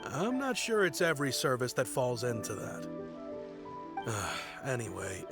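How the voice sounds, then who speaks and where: Brusque, expressive busy townsman. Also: medium pitch, unhurried, creaky, music in the background, full character voice. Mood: dismissive. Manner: curt, hesitant, disapproving. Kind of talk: video game voice acting.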